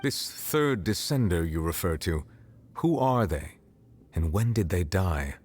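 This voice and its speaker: A man voicing a dignified, reserved ancient dragon statesman in a video game, formal and measured. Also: low voice, animated, darkish tone. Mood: guarded. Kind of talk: video game character acting. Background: faint game music.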